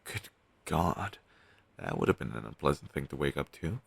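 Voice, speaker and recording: A man playing a weary, caring undead best friend in an intimate audio roleplay, talking casually and earnestly with halting phrases. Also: rumbling low, sing-song, vocal fry, breathy edge, no room sound, exaggerated voice. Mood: wry, casual. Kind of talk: audio roleplay, intimate one-sided dialogue.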